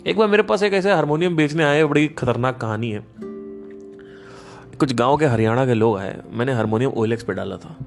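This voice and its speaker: A man hosting a podcast, giving a casual spiritual talk in an emphatic, animated storytelling manner. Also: mid-range voice, a little fast, gravelly, soft background music. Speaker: podcast host.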